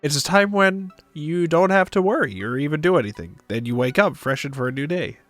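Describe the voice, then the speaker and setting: A man's voice: fairly high pitch, lively intonation, a little fast, fairly bright tone, no room sound. A man narrating a visual novel aloud for a stream, with casual asides. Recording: music behind the voice. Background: music.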